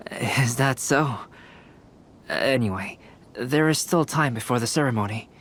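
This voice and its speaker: A man playing a calm, courteous young artist in an anime-style game, with measured, polite speech. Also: mid-range voice. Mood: calm, pleased.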